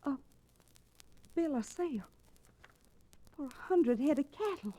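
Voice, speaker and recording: A spirited young ranch woman from a vintage radio western. Her voice is fairly high, dramatic and sharp-tongued, with an edge of bitterness. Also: animated, a little slow. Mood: scornful. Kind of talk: old-time radio western acting.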